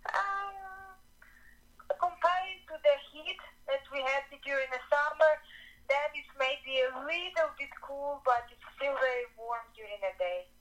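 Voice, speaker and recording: A woman's voice: high voice, a bit bright. Woman on a phone line. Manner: careful, halting, searching for words. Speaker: guest, actress and improv comedian. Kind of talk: casual interview.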